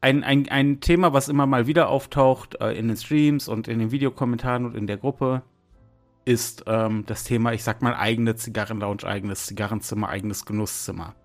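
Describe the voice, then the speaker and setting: A man's voice: medium pitch, steady tone, a little fast, faint music behind. A man chatting casually as co-host of a podcast.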